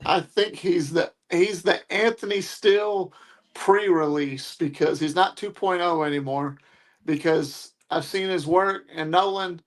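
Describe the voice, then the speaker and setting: A man's voice: high voice, a little slow. A man speaking as a wrestler guest on a talk show, bantering casually with blunt trash talk aimed at rivals.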